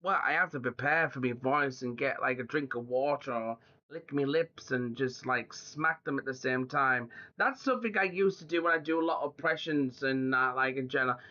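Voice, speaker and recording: A man's voice: medium pitch, moderate pace, sharp tone. A young man hosting a podcast, chatting casually and rambling with lots of fillers. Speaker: podcast host. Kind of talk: casual chat. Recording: dull lo-fi audio.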